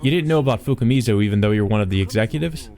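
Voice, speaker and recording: A man's voice: on the low side, expressive, very fast, mellow, soft background music. A man voicing an insolent, carefree male visitor in a visual novel, over-familiar and needling in manner. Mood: slyly probing.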